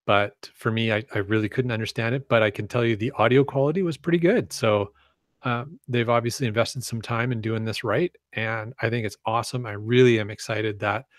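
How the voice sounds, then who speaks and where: A man's voice: lowish voice, dry recording, studio quality. Easygoing man co-hosting a podcast. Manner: easygoing, agreeable, laughs often. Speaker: podcast co-host. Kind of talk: casual podcast chat.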